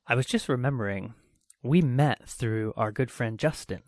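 Male host, casual interview read, effusive and chatty, average pitch, dry close sound. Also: normal speed, dry recording. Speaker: show host.

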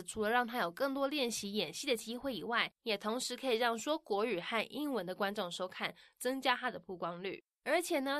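A woman narrating a language-learning podcast in a friendly, explaining way, ending many sentences with soft particles. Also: mid-range voice, no room sound, clean studio sound. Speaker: podcast host explaining in Mandarin. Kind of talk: scripted bilingual podcast narration.

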